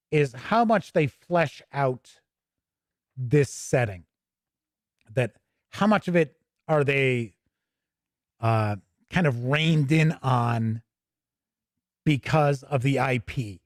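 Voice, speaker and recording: A man's voice: medium pitch, no room sound, clean studio sound. A man hosting a tabletop gaming show, giving casual, chatty, rambling commentary off the cuff. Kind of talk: casual unscripted commentary while leafing through a book.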